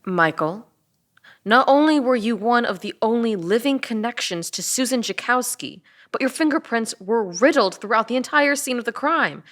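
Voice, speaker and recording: A woman's voice: a little high, animated, normal speed, a bit bright. A woman playing a tough, weary police officer in a dramatic table read, sardonic and insistent. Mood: firm, formal.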